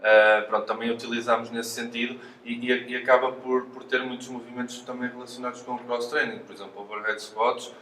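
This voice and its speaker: A man's voice: medium pitch, flat delivery, a little fast, few pauses, pushed and bright. A man with a European Portuguese accent giving careful, hesitant explanations as a physiotherapist in an interview. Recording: echoey room, distant mic, faint noise.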